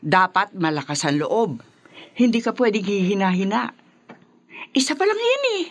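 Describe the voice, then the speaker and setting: A brash grandmother in a radio drama, blunt and full of exclamation. Her pitch swings widely as she nags and boasts like a mother who did it all alone. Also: very animated, moderate pace. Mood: scolding.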